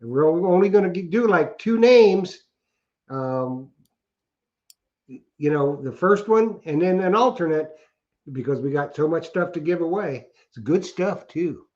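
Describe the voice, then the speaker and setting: A man co-hosts a casual chat show, rambling along in a folksy way. His voice sits a bit high and comes through dull and lo-fi. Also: animated, unhurried, a little mellow. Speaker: co-host.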